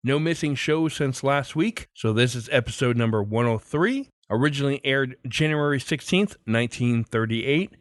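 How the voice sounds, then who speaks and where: A man's voice: mid-range voice, expressive, normal speed, few pauses, no room sound, clean studio sound. A man hosting a podcast, reading a scripted introduction. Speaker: podcast host.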